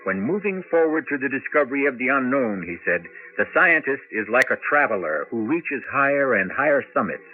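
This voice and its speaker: An actor as the grave host of a mystery radio drama, narrating in a portentous, deliberate way. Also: male voice, mid-range voice, very animated, narrow, tinny audio, natural voice. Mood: grave, musing. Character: grave, philosophical male mystery show host. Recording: band-limited old radio broadcast. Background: music.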